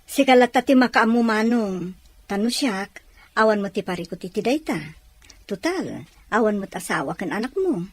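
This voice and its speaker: A woman's voice: medium pitch, lively intonation, moderate pace, pauses often, natural voice. A woman playing a practical, persuasive sister in a Filipino radio drama. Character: practical, persuasive woman advising her sibling.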